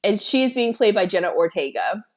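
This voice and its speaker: A woman co-hosting a podcast, chatting casually as she retells a film's plot with excited asides. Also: mid-range voice, normal speed. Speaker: podcast co-host. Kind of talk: casual film-recap chat.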